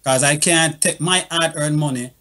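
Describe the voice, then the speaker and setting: Man, fairly high pitch, earnest, rambling, repeats words. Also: normal speed, sharp tone. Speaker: music producer and artist. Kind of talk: casual panel discussion.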